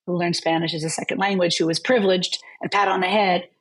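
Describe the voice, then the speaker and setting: Woman professor, lower voice, slightly husky, lively storyteller. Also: fast. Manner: vivid, storytelling explanations. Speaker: university linguistics professor. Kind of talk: conversational interview.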